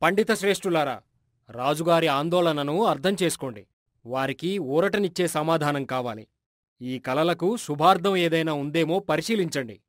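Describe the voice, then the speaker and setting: Man as a courteous royal minister, raised pitch. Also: moderate pace, dry recording, clean studio sound, lightly acted. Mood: courteous, pleading. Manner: formal, deferential. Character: loyal royal minister, courteous, pleading on the king's behalf. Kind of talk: dramatized moral storytelling.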